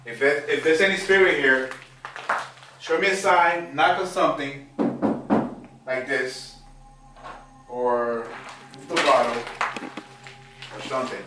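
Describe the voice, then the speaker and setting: A man's voice: mid-range voice, takes it slowly, pauses often, over loud music. A man casually narrating an exploration vlog as a ghost hunter inside an empty building. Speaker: ghost-hunting vlogger. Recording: echoey rooms with clear noise.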